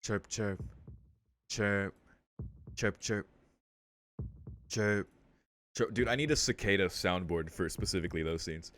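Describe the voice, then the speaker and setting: A man's voice: low-pitched, steady tone, slow, long pauses, fairly bright tone, a little hiss or hum. A man hosting a let's play, reading visual novel text aloud and breaking in with casual, slangy commentary. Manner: interjects with casual slang and swearing.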